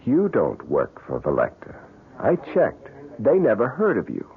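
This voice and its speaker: Stubborn ambitious man in old radio mystery, argues hard, pitch jumps around, tinny sound. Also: wildly swooping pitch, measured pace. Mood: defiant. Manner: insistent, argumentative. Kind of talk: 1970s radio mystery drama acting. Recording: tinny, band-limited old radio broadcast.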